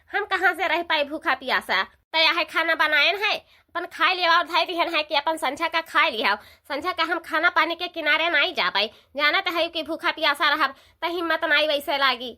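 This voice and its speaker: A woman's voice: very high-pitched, bright, forceful tone. A woman voicing a dutiful, chatty village daughter-in-law in a cartoon, with an eastern Uttar Pradesh accent and an emphatic, rambling delivery. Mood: explaining, complaining. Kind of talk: Hindi-belt cartoon dialogue.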